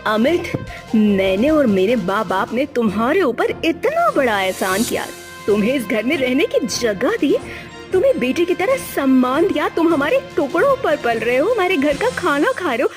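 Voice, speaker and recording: A woman's voice: high voice, big pitch swings, moderate pace, faint music behind. A woman playing an indignant young wife in a story, scornful and scolding, taunting her husband. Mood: angry scolding.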